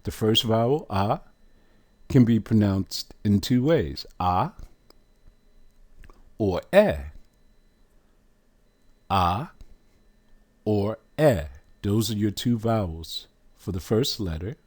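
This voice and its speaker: A man with a fairly low voice gives a religious lesson at a very slow, deliberate pace. He stresses single words and leaves long pauses between phrases. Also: animated, darkish tone. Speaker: religious teacher.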